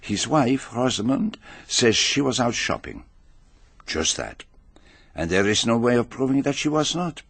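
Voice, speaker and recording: A courteous, self-assured detective in a radio mystery, his husky voice rising and falling expressively. He phrases everything with fussy precision and theatrical deliberation, close and dry on the microphone. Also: medium pitch, very animated, unhurried, fairly bright tone, no room sound, full character voice. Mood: musing. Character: fastidious, self-assured male foreign detective, courteous and methodical. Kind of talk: British radio drama acting.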